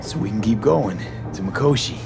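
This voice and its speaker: Gruff male mercenary, lowish pitch, clipped delivery, ailing, game drama, very loud music. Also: big pitch swings, normal speed. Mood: steady, tense. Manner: terse, clipped phrases. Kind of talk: dramatic video game voice acting.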